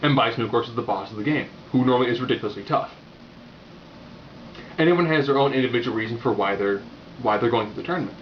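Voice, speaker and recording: A man's voice: mid-range voice, fast, long pauses. A man giving a casual, opinionated video review in a wry, rambling, unscripted way. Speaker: movie reviewer. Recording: muffled lo-fi audio with background noise.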